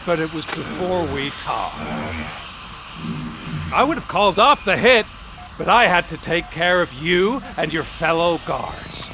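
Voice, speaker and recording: Loud engine noise surrounds a smug criminal villain. His voice is pitched high, and he taunts theatrically and with menace, in video game cutscene style. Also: animated, unhurried. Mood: menacing taunting.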